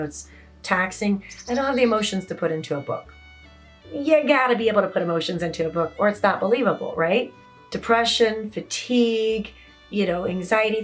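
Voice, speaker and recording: A woman with a naturally raspy voice, a bit hoarse from yelling, giving casual, chatty writing advice as an author in her home office. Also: mid-range voice. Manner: chatty, conversational, lots of "you know". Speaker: indie romance author. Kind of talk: casual talking-head advice vlog. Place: home office with open windows.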